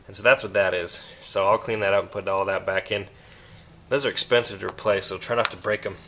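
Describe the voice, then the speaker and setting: A man's voice: low-pitched, a little fast, background noise. A man casually explaining step by step how he takes apart a car's cooling system, recorded outdoors on a handheld camera while he works. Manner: relaxed, explains step by step, asks viewers questions. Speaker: car restoration vlogger. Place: outdoor driveway by a car engine.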